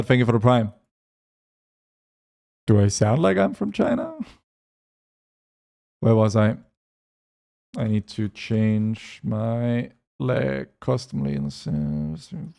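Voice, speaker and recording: A man from Europe streaming a game, reading chat aloud and answering it between quick asides about his play. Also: medium pitch, sing-song, gravelly, mellow. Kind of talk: casual gaming stream chat.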